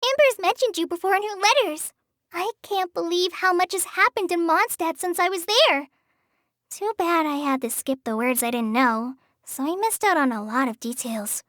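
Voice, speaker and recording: A woman's voice: very high-pitched, animated, normal speed, fairly bright tone, dry recording, studio quality. A woman voicing a shy young female forest ranger apprentice in a game, talking hesitantly with sighs and apologies. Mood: gentle. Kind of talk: game character voice lines. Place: studio booth.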